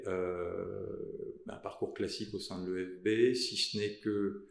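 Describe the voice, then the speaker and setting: A man in his thirties from southern France, a lawyer telling the story of his career in a podcast interview, pausing often with fillers and restarts. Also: deep voice, gravelly, a little mellow, echoey room. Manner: halting, many fillers and restarts. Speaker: lawyer guest.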